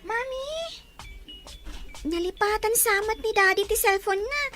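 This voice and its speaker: A woman's voice: very high voice, unhurried, pushed and bright, slight background noise, exaggerated voice. A woman playing a chatty, restless young daughter in an audio drama. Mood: lively, childlike.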